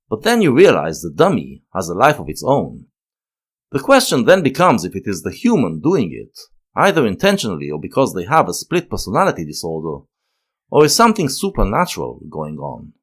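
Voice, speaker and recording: A man delivers scripted, analytical narration. His voice sits fairly low, and his intonation swings widely as he goes. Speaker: video essay narrator.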